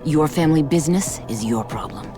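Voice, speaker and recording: A woman's voice: low voice, expressive, fairly quick, music in the background. A woman playing a hard-bitten racer in a video game, blunt and scornful. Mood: dismissive. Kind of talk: video game cutscene acting.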